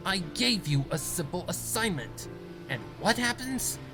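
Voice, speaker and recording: A man's voice: high-pitched, lively intonation, a little slow, vocal fry, music in the background, pitched above the performer's own voice, heavily acted. A man voicing a brash, angry female pegasus factory boss with a snapping, demanding manner.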